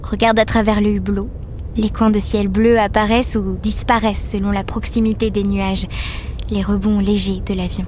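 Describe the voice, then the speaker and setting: A woman acting as narrator of an audio drama, reading literary prose in a measured, poetic way. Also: medium pitch, little pitch movement, darkish tone, a little hiss or hum, natural voice. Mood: contemplative. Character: female narrator of a child's inner world.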